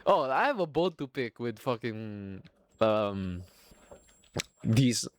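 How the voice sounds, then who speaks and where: A man's voice: medium pitch, big pitch swings, slow. A young man from Singapore with a Filipino accent, rambling casually and swearing freely as the host of a solo podcast. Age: young adult. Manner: profane, rambling, self-mocking.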